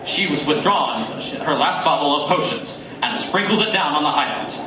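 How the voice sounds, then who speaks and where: A man's voice: on the high side, lively intonation, normal speed, over music. A man narrating dramatically from a script in the style of a radio serial, at a live performance. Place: live coffee house performance. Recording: echoey live coffee house, distant, noisy.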